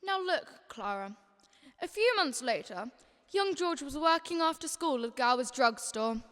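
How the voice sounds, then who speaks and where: A woman's voice: sits high, animated, a little slow, fairly bright tone. A young woman playing an authoritative, exasperated superintendent of angels in a radio drama, emphatic and instructive. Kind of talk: stage-like radio drama acting. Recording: long hall-like reverb effect.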